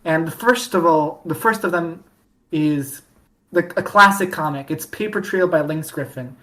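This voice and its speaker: A woman hosting a podcast in a casual fan-discussion style, with halting delivery that often repeats and restarts phrases. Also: low-pitched, a bit bright. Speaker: podcast host.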